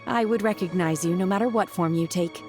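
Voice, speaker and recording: A woman's voice: fairly low pitch, a little fast, music in the background, natural voice. An actress voicing a stoic young woman waiting tables in a video game, formal and matter-of-fact. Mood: sincere.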